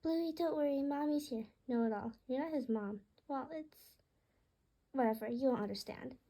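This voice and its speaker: Woman reading her story out loud, kinda high voice but soft-edged and mellow, trips on words sometimes. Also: normal speed. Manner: occasional stumbles and self-corrections while reading. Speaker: author reading own book aloud. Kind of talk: read-aloud story narration.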